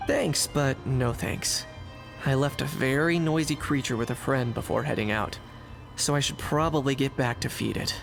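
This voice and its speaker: A man's voice: medium pitch, normal speed, gravelly, close to an everyday voice. A man voicing a laconic, cool, practical young man in a video game. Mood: cool, matter-of-fact. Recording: faint music behind the voice.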